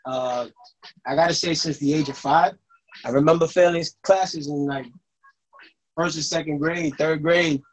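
Guest artist, man from New York, laid-back and conversational, a little higher-pitched. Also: unhurried, pauses often, low-quality recording. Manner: relaxed, conversational, with "you know what I'm saying" asides. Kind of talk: casual self-introduction.

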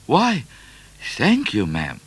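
A man's voice: mid-range voice, huge pitch swings, slow pace, husky, dry recording, voice pushed up, heavily acted. A man voicing an eager, polite young male adventurer of twenty in a storybook, breathless and earnest.

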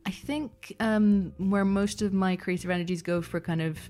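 A woman's voice: mid-range voice, fairly even intonation, moderate pace, mellow. A woman being interviewed as a guest, speaking casually and thoughtfully, feeling her way through her phrases. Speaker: interview guest, podcast producer.

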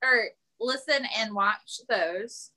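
Bright, high-voiced woman chatting casually. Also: takes it slowly, low-quality recording. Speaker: podcast participant discussing radio dramas. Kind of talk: casual chat.

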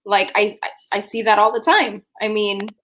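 A woman hosts a live stream interview in a loose, casual way. Her speech is full of "like" fillers, and her pitch sits in an ordinary middle range. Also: steady tone, normal speed, fairly bright tone.

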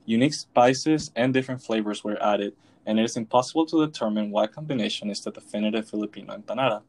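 A young man from Venezuela co-hosting a podcast, reading a prepared script in a steady way. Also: lowish voice, quick pace. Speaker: podcast co-host.

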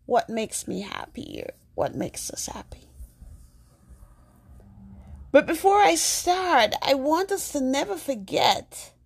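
Slow, gravelly-voiced woman podcast host. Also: fairly high pitch. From Jamaica. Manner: soft, slow and earnest. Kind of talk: reflective podcast monologue.

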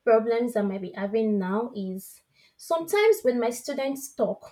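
A woman's voice: fairly high pitch, unhurried. A woman from Nigeria with a Nigerian accent, chatting casually and laughing often as she tells stories. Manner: animated, laughs often, acts out quotes. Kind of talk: casual chat.

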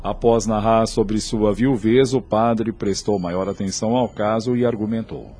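A man's voice: fairly low pitch, fairly even intonation, unhurried, dark tone, slight background noise. A man with a Brazilian accent narrating a radio drama in a formal, literary, scripted style. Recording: music under the voice.

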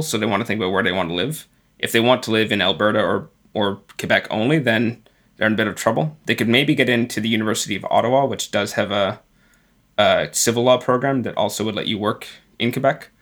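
Male guest advisor, lowish pitch but bright, crisp tone, measured delivery. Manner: measured, advisory, hesitant restarts. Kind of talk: casual podcast advice.

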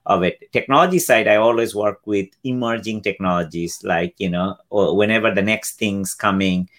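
Bangladeshi-born man, fifties, low voice, explanatory interview guest. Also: normal speed, a bit bright. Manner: explanatory, acts out quoted exchanges. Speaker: guest, cloud solutions architect. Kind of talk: interview answers.

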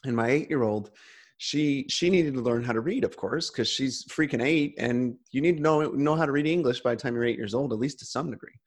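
A man's voice: medium pitch, fairly quick. A man in casual conversation, talking earnestly with frequent restarts.

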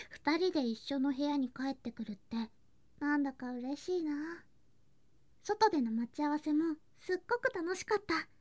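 A woman playing a sweet, clingy younger girl cousin in an ASMR voice drama, soft and breathy, often whispering, with a cutesy, affectionate manner. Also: high voice, dry recording. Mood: cheerful, affectionate. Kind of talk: Japanese ASMR situation voice drama. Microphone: close ASMR microphone.

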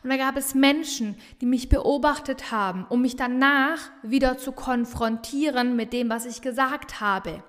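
A woman's voice: sits high, normal speed, hardly any pauses, fairly bright tone, big-room sound. A woman with a regional dialect hosting a motivational solo podcast, talking emphatically and energetically, often repeating phrases for emphasis. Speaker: business coach and podcast host.

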